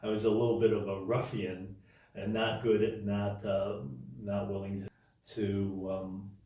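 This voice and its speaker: Halting deep-voiced man in echoey room. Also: little pitch movement, dark tone, recorded from a distance, a little hiss or hum. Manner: halting, many restarts and fillers. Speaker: interview guest. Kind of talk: casual storytelling interview.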